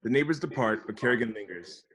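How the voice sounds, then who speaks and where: A man's voice: mid-range voice, animated, fast, fairly bright tone, breathy edge, rough recording. A man reading stage directions as scripted narration for a table read. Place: recorded remotely at home on a video call.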